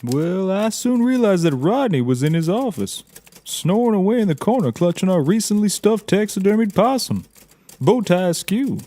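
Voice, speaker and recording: A man's voice: sits high, very animated, moderate pace, dark tone. A man playing a naive, folksy taxidermist and tracker in a scripted audio comedy, rambling with drawled interjections. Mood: earnest, rambling.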